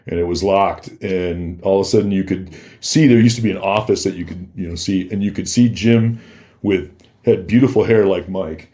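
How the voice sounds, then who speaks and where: Low-voiced man telling casual stories. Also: normal speed, warm tone. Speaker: podcast guest. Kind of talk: casual anecdotal storytelling.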